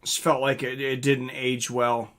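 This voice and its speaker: A man's voice: medium pitch, flat delivery, a little slow, fairly bright tone. A man hosting a live stream, chatting casually and reading out comments from viewers.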